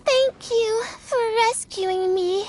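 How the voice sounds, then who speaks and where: A woman's voice: very high voice, slow pace, a little mellow. A woman playing a frightened, apologetic little child in a video game, speaking tearfully. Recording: music in background.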